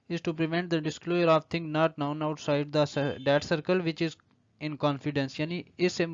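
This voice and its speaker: A man with a Pakistani accent giving an explanatory law lecture, reading clauses aloud deliberately and then explaining them. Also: fairly high pitch, no echo. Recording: slightly dull, lo-fi audio.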